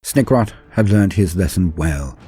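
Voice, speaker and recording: A man's voice: low voice, expressive, unhurried, mellow, husky, dry recording, faint music behind. A man narrating scripted stories as a channel host, in a theatrical, florid and formal manner. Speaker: host of a lore channel and storyteller. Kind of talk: dramatic scripted storytelling narration.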